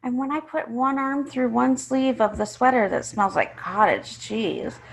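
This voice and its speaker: A woman's voice: on the high side, unhurried, vocal fry, slight background noise, low-quality recording. A woman reading a first-person children's story aloud, emphatically and with acted-out feeling. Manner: emphatic, acts out the characters.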